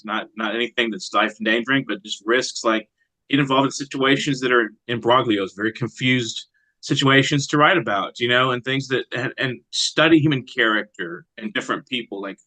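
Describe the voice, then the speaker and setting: A man speaking as an interviewed poet, in a reflective, halting conversational way. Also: mid-range voice, sharp tone, no echo, rough recording. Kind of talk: conversational interview with brief poem reading.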